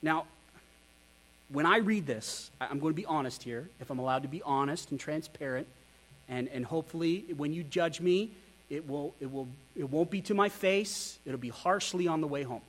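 High-voiced man preaching earnestly. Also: moderate pace, fairly bright tone, low-quality recording. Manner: earnest, candid, self-deprecating preaching with rhetorical questions. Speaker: pastor. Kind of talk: sermon.

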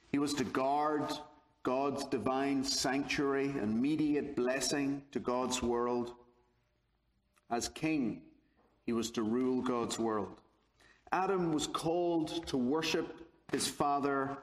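A man from Northern Ireland with a Belfast accent, giving a theology lecture in a measured, deliberate way with touches of dry humor. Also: medium pitch. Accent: Belfast, Northern Irish. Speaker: guest lecturer. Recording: echoey lecture hall.

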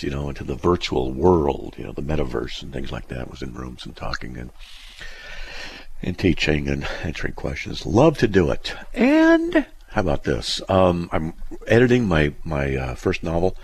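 A man's voice: a bass voice, creaky, slightly rough. A middle-aged man hosting a talk radio show, rambling conversationally with many "uh" fillers into a studio mic. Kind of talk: solo talk radio monologue.

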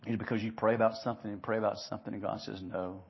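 Male preacher, husky mid-pitched voice, earnest, emphatic, dynamic from hushed to loud, repeats phrases, slightly muffled recording. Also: little pitch movement, rapid-fire. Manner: rhetorical questions and repeated phrases. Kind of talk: expository sermon.

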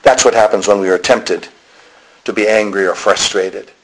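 A man's voice: fairly low pitch, fairly even intonation, normal speed, low-quality recording. A man preaching a sermon, explaining to listeners directly and repeating key phrases for emphasis.

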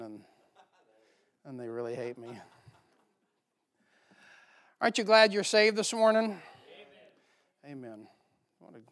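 A middle-aged American man preaching a church sermon and reading from the Bible, with informal, folksy asides to the congregation. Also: mid-range voice.

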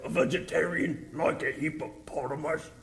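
A man voicing a boastful, muscle-proud male alligator puppet, gruff and swaggering. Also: on the high side, moderate pace.

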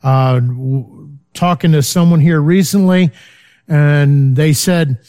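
A man's voice: on the high side, slow pace, warm tone, soft background music. A man teaching a Bible study in a loose, conversational way, full of fillers and checking in with his listeners. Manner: informal, many fillers, checks in with "okay?".

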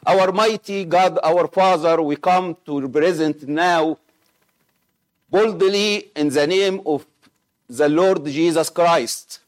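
A middle-aged man from Egypt, Arabic-speaking, with an Egyptian accent, preaching and praying in halting English with many pauses and fillers. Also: on the high side. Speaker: missionary preacher. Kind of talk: sermon with scripture reading and prayer.

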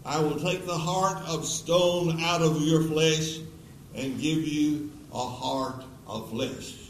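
Preacher in his eighties, slow and earnest altar call, heard from far off in an echoey hall with noise. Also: a little high, steady tone. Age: 80s. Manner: slow, earnest, repeated pleading questions. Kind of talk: closing sermon with altar call. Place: church auditorium. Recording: echoey auditorium from a distance with noise.

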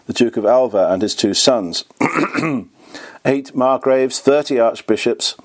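A slightly hoarse man reading a history book aloud at a steady, measured pace. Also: medium pitch. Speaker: reader.

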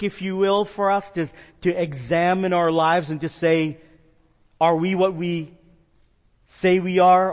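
A man's voice: a little high, in a large hall. A man from the New York metro area preaching a sermon as a pastor, earnest and conversational with some fillers. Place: church sanctuary.

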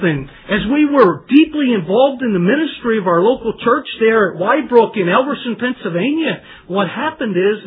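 A man in his forties giving an animated, emotional testimony as a lay preacher, with big leaps in pitch and moments close to tears. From Trinidad. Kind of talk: personal testimony sermon. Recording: tinny phone-like sound, faint hiss.

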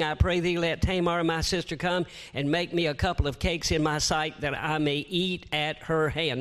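Older guy preaching in church, shrill tenor, kinda high, folksy jokes between the verses. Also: fairly bright tone. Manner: folksy, joking asides between scripture readings. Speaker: guest preacher. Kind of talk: sermon.

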